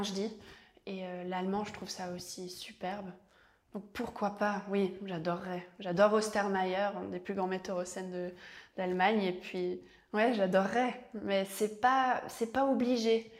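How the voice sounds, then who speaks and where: A young woman actress, answering interview questions in a chatty, reflective way with restarts. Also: mid-range voice. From French-speaking Switzerland.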